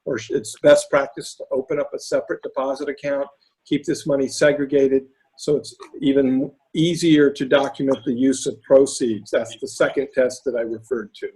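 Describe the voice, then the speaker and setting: A hoarse man with a raspy voice talking through case studies on a video call, halting with many fillers and restarts. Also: mid-range voice, fairly even intonation, darkish tone, poor audio. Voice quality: raspy, lost voice. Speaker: panelist presenting case studies. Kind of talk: webinar talk.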